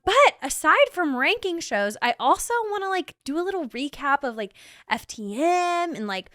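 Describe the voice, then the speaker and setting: A young woman in her twenties talks through a solo podcast episode in a gushing, chatty way, leaning on "like" as filler. Her voice sits high and comes across smooth and clear. The sound is dry and close, with no room around it. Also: a bit bright, no room sound. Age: 20s. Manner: gushing, chatty, heavy use of "like". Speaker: podcast host. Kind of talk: casual solo podcast chat.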